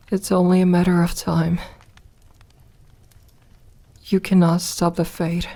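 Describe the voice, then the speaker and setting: A woman playing a lonely, solemn vampire in a soft ASMR audio roleplay, speaking in a measured, confiding way with reflective pauses. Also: fairly low pitch. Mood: sorrowful, regretful.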